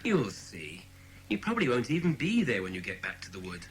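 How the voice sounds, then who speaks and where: A man playing a sceptical, patient but condescending British father in a children's audiobook drama, speaking in a measured way. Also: mid-range voice, wildly swooping pitch, background noise. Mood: dismissive.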